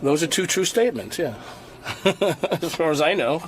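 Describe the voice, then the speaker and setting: A man's voice: on the high side, animated, moderate pace, a little hiss or hum. A man being interviewed, answering casually but defensively, with chatter behind him.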